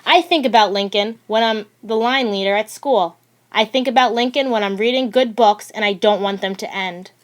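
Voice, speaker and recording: A woman narrating a children's picture book aloud in a deliberate, expressive storytelling manner. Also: on the high side. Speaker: audiobook reader.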